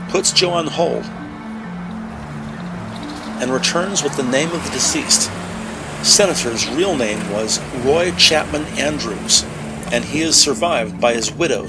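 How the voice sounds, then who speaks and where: A man narrates a crime drama from a script in the present tense. His voice sits at a middle pitch, and music plays clearly behind him. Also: animated, normal speed.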